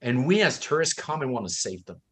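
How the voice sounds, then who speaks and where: A man's voice: medium pitch, very animated, fairly quick, fairly bright tone, breathy edge. A man who grew up in Thailand, co-hosting a book discussion, talking with conviction and acting out the people he quotes. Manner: impassioned, acts out quoted speakers. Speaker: co-host.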